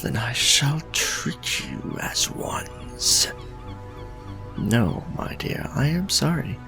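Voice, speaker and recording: A man acts out a proud vampire lord in a gothic audio roleplay, courtly and menacing. His delivery is slow and creaky, sweeping widely in pitch through grand archaic phrases, with breathy half-whispered edges. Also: heavily acted. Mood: cold contempt. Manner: formal, archaic, grandiose, dramatic deliberate phrasing. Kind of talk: gothic romantic ASMR roleplay monologue.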